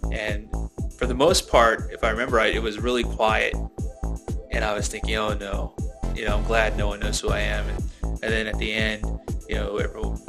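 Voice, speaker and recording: Low, gravelly, very even-toned man. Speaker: animation studio member, interviewee. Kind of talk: reminiscing in a documentary interview. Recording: background music under interview.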